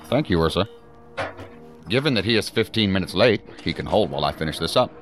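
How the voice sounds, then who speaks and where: An actor playing a busy assistant director in an audio drama, with a wry, dry way of talking. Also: male voice, low voice, animated, fairly quick, faint music behind. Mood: curt, busy. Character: busy male senior official, wry and domestic.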